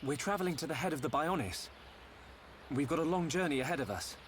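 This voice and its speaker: A man's voice: a little high, expressive, brisk, slight background noise, lightly acted. An actor playing an earnest, polite young male adventurer with a British accent in a video game. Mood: earnest, tentative. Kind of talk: video game cutscene acting.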